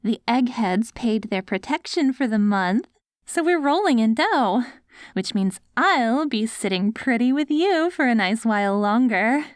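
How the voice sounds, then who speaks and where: Sweet, unhurried doting wife, acted by a woman. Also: a little high, animated, no room sound, studio quality. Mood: pleased, upbeat. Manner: sweet, patient, coaxing, caregiver-like. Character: doting wife caring for her ghoul husband after apocalypse. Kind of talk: ASMR roleplay audio drama.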